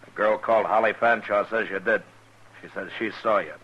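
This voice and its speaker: Steady frontier marshal, dull vintage radio. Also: male voice, on the low side, brisk, slightly rough. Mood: calm, matter-of-fact. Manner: terse, dryly sardonic questioning. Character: steady, dry-witted US marshal in the Old West. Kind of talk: 1950s radio western acting. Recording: dull 1950s radio recording.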